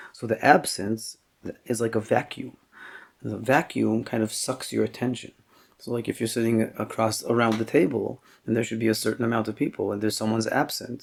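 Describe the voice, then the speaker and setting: A man giving a Torah class as a rabbi, explaining slowly and thoughtfully with frequent pauses and restarts, and mixing Hebrew terms into his talk. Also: medium pitch, vocal fry, slightly rough.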